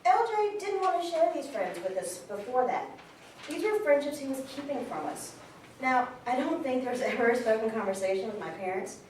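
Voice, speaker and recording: A woman playing a sister in her twenties, telling of her brother in an informal, animated staged monologue. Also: high voice, moderate pace. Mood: reflective, fond. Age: 20s. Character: twenty-eight-year-old sister fondly recalling her brother. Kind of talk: conversational monologue in a staged reading. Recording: very echoey room, distant mic, noisy.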